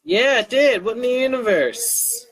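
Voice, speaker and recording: A man's voice: very high voice, animated, unhurried, fairly bright tone, low-quality recording. A man chatting casually on a livestream, rambling and muttering to himself as he goes. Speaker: live streamer.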